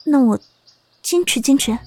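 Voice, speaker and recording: An actress playing a gentle, anxious young wife and mother in a period audio drama, soft, tender and girlish. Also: sits high, lively intonation, moderate pace. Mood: eager, tender. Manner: tender, pleading.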